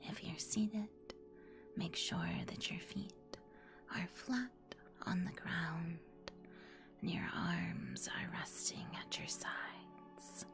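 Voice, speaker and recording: A woman whispering a guided ASMR meditation in a soothing, deliberate, instructional manner. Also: lowish voice, wildly swooping pitch. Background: music.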